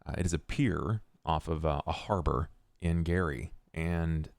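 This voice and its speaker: A man with a very deep, gravelly voice improvises a scene for a tabletop game. He is halting yet dramatic, and the recording is dry. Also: no room sound. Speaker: player on actual-play podcast.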